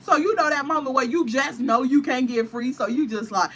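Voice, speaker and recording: A woman vlogger telling a wild story with animated, profane, dramatic flair. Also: high voice, a little fast, husky. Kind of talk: storytime vlog.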